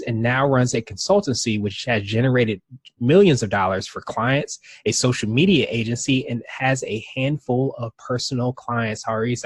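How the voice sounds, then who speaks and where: A man's voice: mid-range voice, breathy edge, dry recording. Podcast host man, dry close sound. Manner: friendly, reads guest bio, brief affirmations. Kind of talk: interview hosting.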